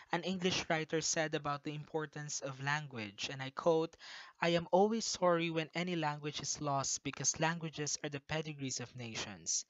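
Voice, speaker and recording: A lecturer with a Filipino accent narrates a prepared slideshow in an earnest, measured way. The voice-over sounds dry and close, with a slightly dull, lo-fi tone. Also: mid-range voice, dry recording. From Philippines. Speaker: presenter of a recorded lecture.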